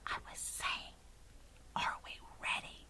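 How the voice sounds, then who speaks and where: A woman voicing a plucky, polite little girl from a children's storybook, outspoken yet ready to turn hushed. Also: expressive, moderate pace. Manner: pitched up, often dropping to a hushed whisper.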